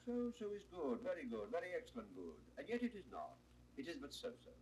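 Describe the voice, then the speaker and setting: Swooping, pompous court jester. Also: male voice, on the high side, sing-song, fast talker, darkish tone. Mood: mock-learned. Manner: grandiose, mock-learned, patronising. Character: witty, pompous male court jester, mocking and wordy. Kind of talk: 1960s recorded classical theatre acting. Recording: distant, faintly noisy 1960s recording.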